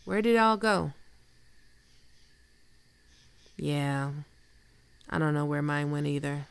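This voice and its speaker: Woman acting a very creaky, teasing flirt. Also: sits low. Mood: wry, sympathetic. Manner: casual, teasing, unhurried. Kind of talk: intimate ASMR roleplay acting.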